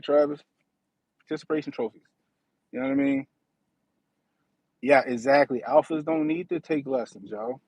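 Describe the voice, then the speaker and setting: A man's voice: on the high side, a little mellow, breathy edge, rough recording. A man in his thirties hosting a casual livestream, talking in a slangy, profane way and often asking "you know what I mean". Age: 30s. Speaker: livestream host.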